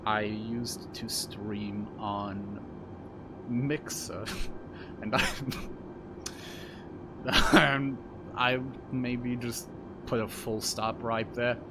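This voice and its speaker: A man from Serbia, a native Serbian speaker, gives slow, unhurried play-by-play while streaming a flight simulator, his voice sitting a little low. He rambles and jokes at his own expense, with lots of ums and uhs. A loud, steady hum of air conditioning runs under the whole recording. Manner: rambling and self-deprecating, full of fillers. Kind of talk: casual live-stream commentary. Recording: loud constant background hum.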